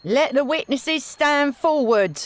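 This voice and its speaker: A woman's voice: high-pitched, expressive, slow pace, no echo, pitched above the performer's own voice. A woman voicing an arrogant, zealous male witch-finder in a storybook reading, with pompous, declamatory delivery. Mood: outraged, commanding.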